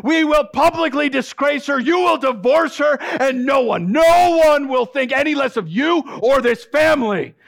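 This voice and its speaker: A man voicing a stern, furious older father, shouting his indignant words in a theatrical monologue. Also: on the high side, lively intonation, normal speed, gravelly, voice pushed up, full character voice.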